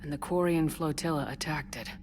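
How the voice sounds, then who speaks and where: An actress playing a tough, direct military commander in a video game, blunt and probing. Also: female voice, low voice, a little fast, background noise, natural voice. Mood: serious.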